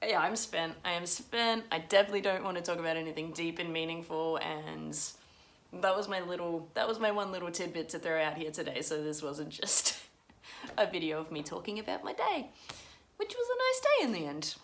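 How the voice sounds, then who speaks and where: Woman vlogging, rambly, laughs at herself a lot, kinda low voice. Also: lively intonation, fairly quick, a little hiss or hum. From Russia. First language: Russian. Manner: rambling, self-deprecating, laughs often. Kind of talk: unscripted vlog ramble.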